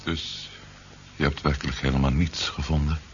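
An actor playing a weary, distraught man missing his wife in a Dutch science fiction radio drama, gravelly and exhausted. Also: rumbling low, flat delivery, moderate pace, soft background music. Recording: dull, noisy 1970s radio recording.

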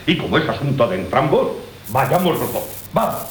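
An actor playing a duped, indignant suitor, declaiming formally and pompously in a comic period radio play. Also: male voice, medium pitch, big pitch swings, normal speed, a little hiss or hum, rough recording. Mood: wounded, formal. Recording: radio drama with loud music and crowd.

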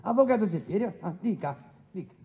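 Officious judge in a reverberant hall. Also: male voice, high-pitched, expressive, fairly quick, a crowd in the background. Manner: interrupting, officious, repeats himself. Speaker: actor. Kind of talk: stage-style courtroom radio drama. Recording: dull sound in a reverberant hall.

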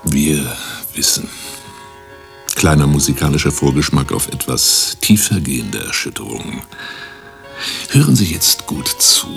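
An actor playing a pompous, theatrical professor in a German radio play, speaking grandiloquently with a gravelly voice. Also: male voice, rumbling low, lively intonation, a little slow, pauses often, fairly bright tone, faint music behind, heavily acted. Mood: probing, pompous. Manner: grandiloquent, swooping delivery. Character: pompous, theatrical male professor, an insistent music-obsessed therapist.

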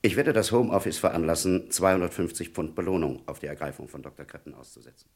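An actor playing an authoritative senior police chief in a German radio crime play, deliberate, formal and commanding. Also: male voice, low voice, slightly rough. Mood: grave, commanding.

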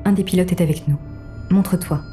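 Woman acting seductive mystery woman, cool and poised, low dark voice, audio drama with faint music. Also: steady tone, fairly quick, lightly acted. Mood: urgent. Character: mysterious seductive virtual woman, cool and self-assured guide. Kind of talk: scripted audio drama, literary narration and dialogue.

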